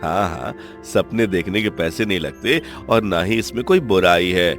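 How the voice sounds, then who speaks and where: A man voicing a humble, lovestruck young village blacksmith, earnest and halting, in a Hindi cartoon story. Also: deep voice, expressive, a bit bright, faint music behind, full character voice. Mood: hopeful, determined.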